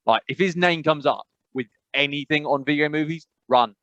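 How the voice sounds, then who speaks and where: A man with an English accent co-hosting a podcast, rambling and animated, with emphatic repetitions. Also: fairly high pitch, normal speed, no room sound, highs cut off. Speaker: podcast co-host. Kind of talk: casual chat.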